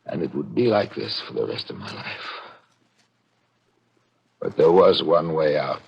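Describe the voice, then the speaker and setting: A man's voice: very deep voice, sing-song, unhurried, gravelly, breathy edge, highs cut off, exaggerated voice. An actor playing a guilt-ridden murderer narrating his own story in 1940s radio thriller style, brooding and melodramatic with desperate outcries. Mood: bleak, resigned. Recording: old radio drama with music.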